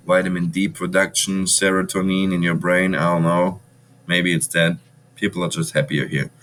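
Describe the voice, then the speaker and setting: A man's voice: deep voice, a bit bright, slight background noise. A man from Germany with a German accent touched by Venezuelan Spanish, talking bluntly and animatedly as a podcast guest, swearing freely. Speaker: podcast guest, bar owner. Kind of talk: casual interview chat.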